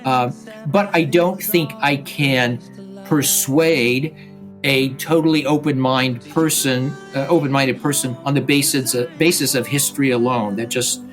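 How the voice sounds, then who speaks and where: An older man, a professor, answering an interview question in a careful, measured way. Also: medium pitch, fairly even intonation. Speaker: professor and guest scholar. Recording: soft guitar music behind.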